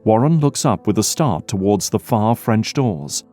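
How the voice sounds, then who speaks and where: A man's voice: lowish voice, animated, measured pace, music in the background. A man reading scripted dramatic narration as the narrator of an audio drama.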